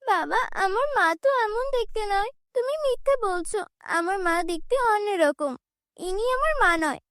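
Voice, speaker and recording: An innocent, obedient little cartoon girl, put on by a woman. The voice is extremely high and childlike, captured close and dry. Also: expressive, moderate pace, fairly bright tone, no echo, full character voice. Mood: puzzled protest.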